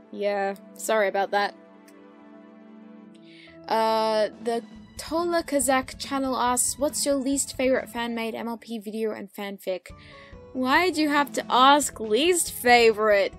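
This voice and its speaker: A woman in a casual solo question-and-answer chat, speaking expressively with playful exasperation as a YouTuber. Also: fairly high pitch. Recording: background music behind the voice. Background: music.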